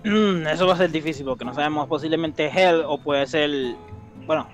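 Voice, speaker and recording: A man in a casual online game, excitable and defensive, switching between Spanish and English as he pleads his case. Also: on the high side, fast talker. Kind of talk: casual gaming chat. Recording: game music behind.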